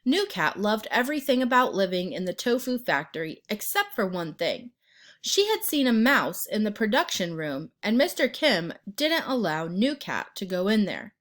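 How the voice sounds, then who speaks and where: A woman narrating a children's picture book as an expressive read-aloud storyteller. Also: medium pitch. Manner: pauses to ask the listener questions and point out pictures.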